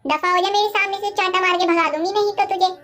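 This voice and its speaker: Woman playing a shrill, sharp-tongued old grandmother. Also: steady tone, quick pace, faint music behind. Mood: suspicious, angry. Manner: sharp-tongued, threatening, ordering. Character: gruff, suspicious old grandmother, mistress of the house. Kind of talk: animated moral-story dialogue.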